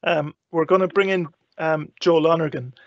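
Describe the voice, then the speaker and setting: Guy hosting, fairly high voice with creak, halting and agreeable, slightly dull dry sound. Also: fairly even intonation, no room sound. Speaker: host. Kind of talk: casual live event hosting.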